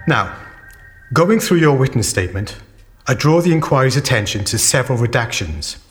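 Formal male inquiry lawyer actor, medium-pitched. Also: animated, faint music behind, close to an everyday voice. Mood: polite, probing. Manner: formal, measured. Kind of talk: formal questioning in audio drama.

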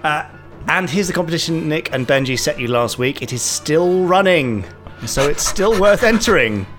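A man's voice: on the high side, animated, moderate pace, fairly bright tone, faint music behind. A man co-hosting a podcast, reading a listener's letter in a playful, giggly way. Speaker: podcast co-host.

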